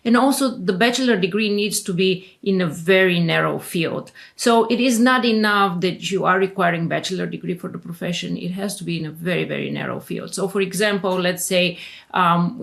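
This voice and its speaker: Gravelly-voiced woman from Bulgaria. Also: lowish voice, brisk, a bit bright. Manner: hesitant, many fillers and restarts. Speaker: immigration attorney guest. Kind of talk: casual interview talk.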